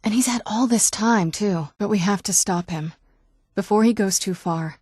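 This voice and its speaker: A woman voicing a guarded, wounded young vampire noblewoman in a video game, with dry understatement and hesitant pauses. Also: medium pitch, darkish tone, breathy edge, dry recording, lightly acted. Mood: dry, wry. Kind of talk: video game character dialogue. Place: dry studio recording.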